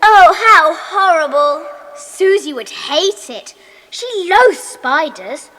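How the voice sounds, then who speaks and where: Very high-pitched, excitable schoolgirl. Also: takes it slowly, big-room sound, heavily acted. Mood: eager, uneasy. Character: excitable, indignant schoolgirl in a children's club. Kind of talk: children's audio drama acting.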